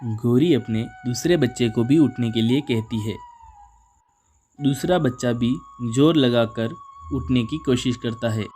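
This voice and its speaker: A man's voice: mid-range voice, unhurried, warm tone. A man narrating a scripted children's animal story as its storyteller. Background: music.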